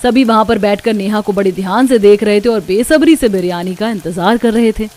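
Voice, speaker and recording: A woman's voice: medium pitch, fairly quick, a little mellow. A woman reading scripted storytelling narration for a cartoon.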